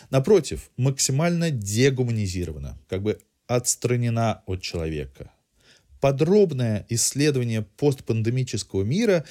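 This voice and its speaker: A man's voice: medium pitch, darkish tone. A man hosting a podcast, delivering a semi-scripted cultural review in erudite, literary phrasing.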